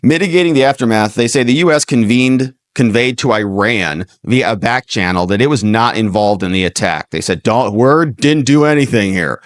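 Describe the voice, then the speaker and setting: An American man hosts a news commentary stream in a mid-pitched voice that swings widely. He moves between reading reports and casual, mocking asides with an incredulous edge. The sound is dry, with little room echo. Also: expressive, moderate pace, few pauses. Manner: acts out imagined dialogue, mocking and incredulous. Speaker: host commentator of a livestream news show.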